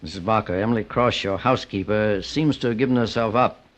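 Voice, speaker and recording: An actor as a shrewd, composed radio detective boss. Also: male voice, lowish voice, fairly quick, noisy background, natural voice. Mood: coolly probing. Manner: probing, authoritative, formal. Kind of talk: old-time radio detective drama acting. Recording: dull old radio recording.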